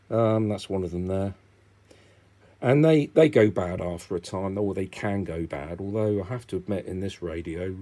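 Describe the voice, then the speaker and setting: Deep-voiced British man, rambling casually. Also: a little mellow. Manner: rambling, self-interrupting, hesitant. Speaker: hobbyist video presenter. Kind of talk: casual unscripted demonstration.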